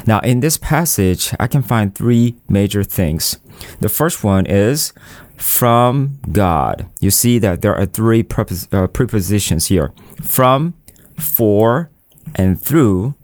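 Male pastor, lowish voice, deliberate sermon with restarts. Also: lively intonation, a little mellow, no room sound, studio quality. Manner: slow, deliberate preaching with repeated phrases and restarts.

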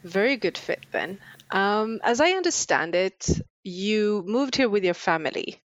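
A woman's voice: mid-range voice, expressive, measured pace, dry recording, muffled recording. A woman hosting a podcast, asking interview questions in a conversational style.

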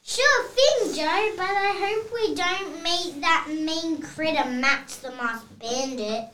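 Plucky young cowgirl, played by a child, very high slow voice, echoey noisy room. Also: bright, forceful tone, exaggerated voice. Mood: playful. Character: plucky cowgirl girl, complaining child actor. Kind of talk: children's read-aloud play. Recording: echoey room, noticeable noise.

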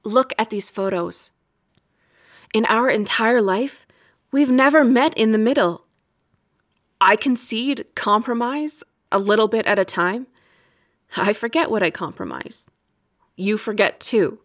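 High-voiced, weary, resentful wife. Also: female voice, unhurried, pauses often, a bit bright. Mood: bitter. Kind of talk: audio drama acting.

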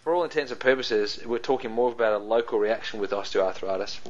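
A man with a New Zealand accent explains health topics as a podcast guest, talking in a loose, joking way and padding his points with ums and restarts. His voice sits a little low. The recording sounds dull and lo-fi. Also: fast. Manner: laughs easily, jokes, many fillers and restarts. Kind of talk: casual explanatory chat.

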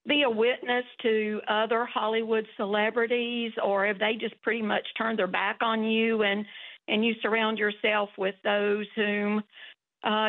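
A woman calling in to a talk show, asking an earnest question politely. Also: a little high. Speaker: caller. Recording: tinny phone line.

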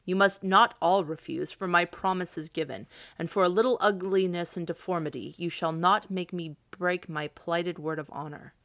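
A woman reading aloud, voicing a stern, dignified male king who speaks in a measured way. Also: lowish voice, fairly even intonation, a bit bright, no echo, clean studio sound.

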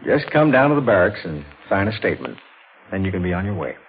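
Low-voiced, plain-spoken state trooper. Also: male voice, big pitch swings, fast. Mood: matter-of-fact. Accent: American. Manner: plain-spoken, easygoing authority. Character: matter-of-fact, folksy male state police officer. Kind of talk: 1940s radio drama acting. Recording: tinny, noisy old radio recording.

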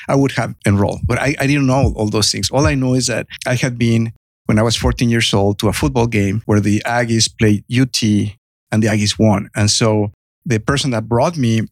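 A man in his fifties from Mexico with a heavy Mexican accent, a native Spanish speaker telling his story as an interview guest in a halting, self-effacing way. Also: medium pitch, fairly even intonation, no echo. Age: 50s.

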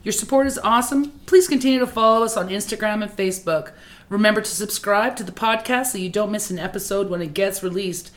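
A woman's voice: mid-range voice, fast talker. A woman hosting a podcast, reading its welcome and follow notes. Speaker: podcast host. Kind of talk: scripted podcast intro.

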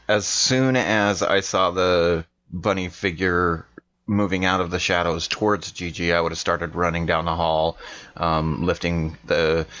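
A man's voice: deep voice, a bit bright. A man talking casually as a player in a tabletop game, on a slightly dull recording.

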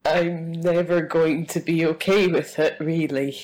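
A woman's voice: low voice, steady tone, a little slow, darkish tone, low-quality recording. A woman with a cold, leaving a heartfelt, sweary voice message for a host. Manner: heartfelt, sweary, laughing through tears. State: full of a cold, rough-sounding. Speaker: listener sending a voice message.

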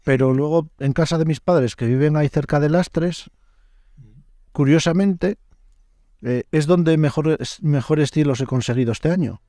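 A man's voice: a little high, a little slow, warm tone, no echo, studio quality. A man with a northern Spanish accent hosting a podcast in casual, colloquial, slangy interview chat. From northern Spain. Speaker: podcast host.

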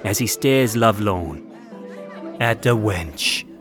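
A man with a lowish voice narrates a scripted story. His delivery is florid and theatrical, suited to an audio play. Music plays clearly behind him. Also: expressive, slow pace, crowd noise.

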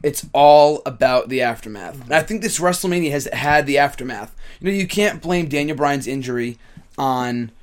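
A hoarse young man hosting a casual wrestling podcast, excitable and rambling. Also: mid-range voice, normal speed. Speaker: podcast host.

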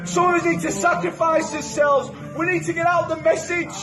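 A British man delivering a fiery, profane, shouted rant as a protester. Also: extremely high pitch, flat delivery, normal speed, reverberant, music in the background. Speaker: protester in a played clip.

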